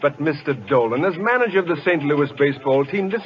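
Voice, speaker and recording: An actor playing an earnest, cocksure college scientist in old radio drama style, precise and formal, stammering when flustered. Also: male voice, on the high side, expressive, brisk, loud music behind the voice, close to an everyday voice. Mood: insistent, cocksure. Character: earnest, cocksure male college scientist turned would-be pitcher. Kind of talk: 1940s-50s radio drama acting. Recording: dull lo-fi old radio broadcast.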